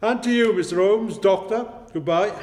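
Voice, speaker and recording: Man acting high-voiced, humble, hesitant British fellow. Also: normal speed, darkish tone, long reverb tail, close to an everyday voice. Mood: earnest. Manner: hesitant, apologetic, chuckling. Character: humble, hesitant, hard-up married man, nervously deferential. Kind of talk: comic radio play acting.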